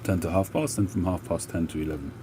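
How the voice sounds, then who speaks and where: A very deep-voiced man with a mixed Cockney and North London accent talks at an easy, meandering pace on a live stream. Traffic and street noise can be clearly heard behind his voice. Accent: London, Cockney with a North London mix. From England. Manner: slow, unhurried, with many filler pauses. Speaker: livestream host. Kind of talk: relaxed live chat.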